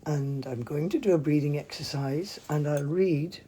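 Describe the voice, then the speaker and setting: A woman leading a casual mindfulness livestream from home, talking in a chatty, meandering, gently informal way, with a hoarse voice, recorded on a phone. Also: low voice, moderate pace. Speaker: mindfulness teacher. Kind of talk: casual livestream with guided breathing. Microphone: phone, handheld at times.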